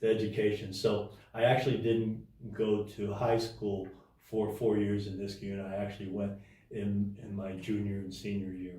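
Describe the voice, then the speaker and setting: A man telling his life story as an interview guest in a casual, halting way, with many restarts and fillers. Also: on the low side, completely flat delivery, warm tone, very echoey, recorded from a distance, rough recording.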